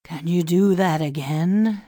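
A woman voicing a pompous, scheming male Egyptian priest in an audiobook, speaking in a grand, confiding, measured manner. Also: fairly low pitch, dark tone, no echo, clean studio sound. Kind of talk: audiobook dialogue reading.

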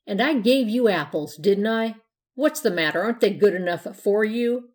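A woman's voice: mid-range voice, expressive, a little slow. A woman voicing a grumpy, suspicious, scornful man from a storybook, scolding in snorts and yaps. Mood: angry, scolding. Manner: snorting, scolding, yapping delivery. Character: grumpy, suspicious, scornful man who begrudges trick-or-treaters. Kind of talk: storybook character voice in narration.

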